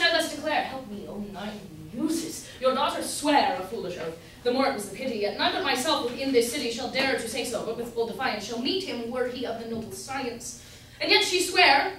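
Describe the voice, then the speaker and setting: Woman playing shrill, foppish boastful suitor. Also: bright, forceful tone, heavily acted. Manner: theatrical rhyming declamation. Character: foppish, foolish boastful suitor, a verse-spouting man. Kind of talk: amateur staged reading of Jacobean comedy. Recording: very echoey room, distant mic, faint noise.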